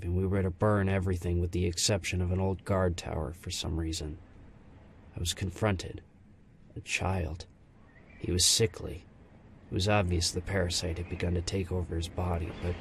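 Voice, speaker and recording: Guy doing a deep serious canine soldier, haunted, deliberate, dramatic fantasy story, faint background noise. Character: grave, conscience-stricken male canine soldier.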